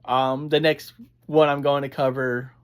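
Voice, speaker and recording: A reviewer, chatting casually in a halting, digressive way as host of a review. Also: mid-range voice, flat delivery, clean tone. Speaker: audio drama reviewer, host. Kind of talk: casual rambling review chat.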